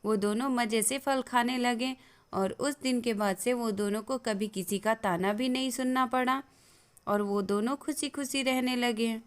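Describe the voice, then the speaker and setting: Female narrator for children's fables, slightly high voice, scripted storytelling. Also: unhurried, smooth.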